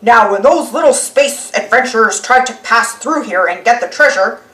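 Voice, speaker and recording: A woman's voice: fairly high pitch, lively intonation, normal speed, a bit bright, reverberant, far from the mic, low-quality recording. A woman voicing a bossy, scheming male space pirate villain in a children's cartoon storybook. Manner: bossy, blustering, melodramatic. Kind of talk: children's storybook character reading.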